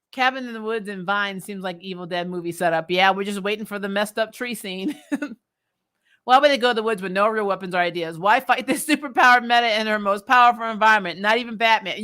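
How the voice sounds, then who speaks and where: A woman's voice: medium pitch, a bit bright. Blunt, giggly woman chatting casually. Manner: blunt, opinionated, exasperated. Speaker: host of a TV recap livestream. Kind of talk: casual chat, reading and answering viewer comments.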